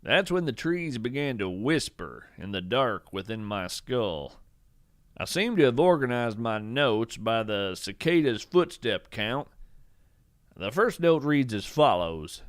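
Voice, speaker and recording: Male actor as whimsical naturalist podcast host, slow measured narration, very expressive pitch, dry close-mic sound. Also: dry recording. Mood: mysterious, puzzled. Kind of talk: scripted fiction podcast narration with poetry readings.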